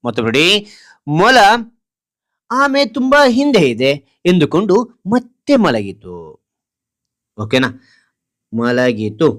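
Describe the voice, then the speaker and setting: Slow, deliberate male teacher. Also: a little high, big pitch swings, a bit bright. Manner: deliberate, repeats short phrases word by word. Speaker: teacher presenting a children's writing lesson. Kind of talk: slow dictation-style lesson, reading out a story point by point.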